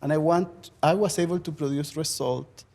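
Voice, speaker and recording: A man's voice: on the high side, fairly even intonation, normal speed, gravelly, darkish tone. A man from Chile with a thick Chilean Spanish accent, a native Spanish speaker, talking earnestly as a faculty panelist.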